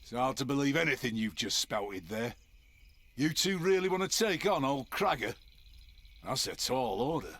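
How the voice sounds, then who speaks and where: An actor playing a hard-bitten, skeptical soldier captain with a rough voice in a video game cutscene. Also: male voice, mid-range voice, lively intonation, normal speed, fairly bright tone.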